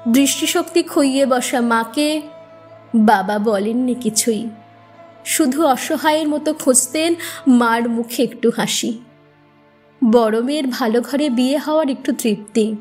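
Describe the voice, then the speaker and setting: A woman reciting a narrative poem in an expressive, measured manner with deliberate pauses. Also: on the high side, soft background music. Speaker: poetry reciter. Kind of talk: dramatic Bengali poem recitation, first-person narrative.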